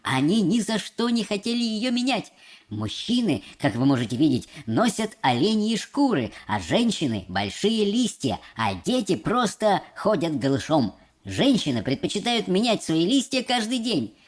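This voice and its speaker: A man voicing an excitable, theatrical, eccentric factory owner in an audiobook, polite and dramatic. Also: sits high, moderate pace, pitched above the performer's own voice. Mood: cheerful explaining. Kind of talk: audiobook character voice.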